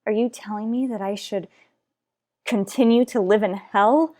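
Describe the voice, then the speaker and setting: A woman playing an anguished, pleading mother in an old-time radio soap, speaking in dramatic, halting phrases. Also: mid-range voice, steady tone, darkish tone, lightly acted. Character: anguished, pleading mother afraid for her child.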